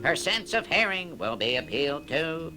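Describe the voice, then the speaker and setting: A man's voice: a little high, very animated, normal speed, voice pushed up. A man voicing a self-important duck administrator on a children's storybook record, speaking in a pompous, formal, wordy way. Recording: vintage record with music behind.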